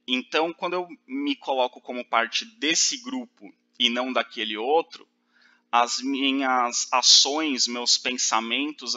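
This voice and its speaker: Bright-voiced male teacher from Brazil. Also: mid-range voice. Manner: explains step by step, many fillers and restarts, checks with "ok?". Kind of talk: recorded lecture.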